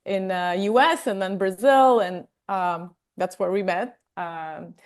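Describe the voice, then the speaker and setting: A woman's voice: mid-range voice, slow. A woman from Armenia, a wellness coach and interview guest, telling stories in a chatty, casual way with lots of fillers.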